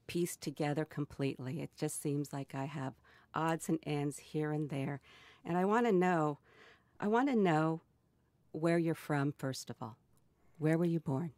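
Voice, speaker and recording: Woman in her sixties, low-pitched, gentle and measured interviewer. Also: no room sound. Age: 60s. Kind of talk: oral history interview.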